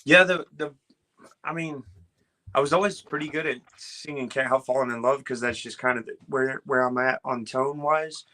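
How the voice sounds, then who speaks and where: A man with a smooth, bright voice, a guest on a video call. His pitch is ordinary, and he talks earnestly and without hurry, padding his thoughts with "uh" and "you know". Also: moderate pace. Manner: earnest and easygoing, with many fillers like "uh" and "you know". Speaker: guest, Elvis tribute artist. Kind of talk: casual interview chat.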